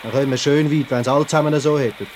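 An actor playing a pushy, plain-spoken factory worker with a Swiss German accent in a 1950s radio play, nagging in colloquial speech. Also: male voice, medium pitch, fairly even intonation, rapid-fire, close to an everyday voice. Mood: indignant. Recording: old radio recording with background noise.

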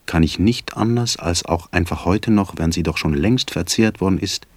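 Deep-voiced man reciting formal prose. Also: normal speed, darkish tone, breathy edge. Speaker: stage performer reciting literary prose. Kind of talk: literary recitation.

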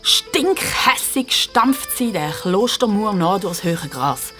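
A woman's voice: medium pitch, very animated, slow pace, fairly bright tone. A woman with a Swiss German accent narrates a children's audio drama in a vivid, conversational storytelling style. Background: music.

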